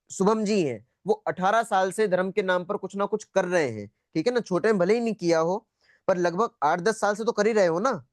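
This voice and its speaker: A man with a high-pitched voice teaches a spiritual class on a video call. He talks conversationally, repeating his points and asking questions to check that listeners follow. The sound is dry, with little room echo. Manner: conversational, repetitive, checking understanding often. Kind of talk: interactive lecture, questioning students.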